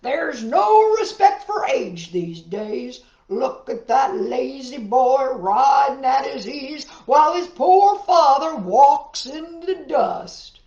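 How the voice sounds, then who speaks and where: Woman acting a loud, indignant farmer. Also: high-pitched, lively intonation, slow, few pauses, roomy sound, lo-fi sound, heavily acted. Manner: loud, emphatic exclaiming. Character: indignant male farmer grumbling about the young. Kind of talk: children's storybook storytelling.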